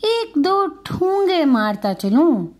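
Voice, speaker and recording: A woman's voice: sits high, very animated, a little mellow, voice pushed up. A woman voicing a shrewd, bossy male crow in a children's folk tale, with a scolding manner. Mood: scheming.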